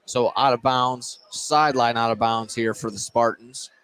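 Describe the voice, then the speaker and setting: A man's voice: mid-range voice, steady tone, moderate pace, clean tone, rough recording. A man giving live play-by-play commentary as a basketball announcer. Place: indoor gym at a basketball game. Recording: loud gym noise behind the voice. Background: loud game ambience.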